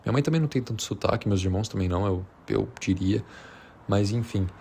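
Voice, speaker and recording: A Brazilian man with a fairly low voice talks casually, as a vlogger would. He speaks informally and off the cuff, with slang and a hesitant, rambling flow. Some background noise and ambience sit behind him. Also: fast, darkish tone. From Minas Gerais, Brazil. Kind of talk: unscripted casual chat to camera.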